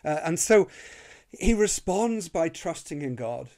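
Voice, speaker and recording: A man's voice: fairly high pitch, lively intonation, unhurried, mellow. A man with a Northern Irish accent preaching an earnest sermon, often repeating words as he starts a phrase. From Northern Ireland.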